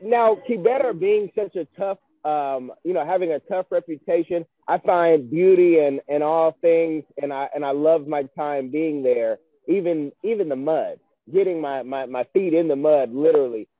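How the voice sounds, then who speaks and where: A man's voice: fairly high pitch, moderate pace, few pauses, no echo. A man hosting a podcast, interviewing casually with rambling asides. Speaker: podcast host. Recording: thin phone-like audio, dry.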